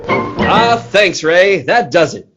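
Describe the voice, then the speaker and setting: An eager old-time radio announcer, played by a man, pitched high and swooping widely. He sells with a bouncy, theatrical, folksy delivery in 1940s style. Music plays behind him. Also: lively intonation, slow pace, highs cut off. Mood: eager, selling. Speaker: announcer and circus owner actor.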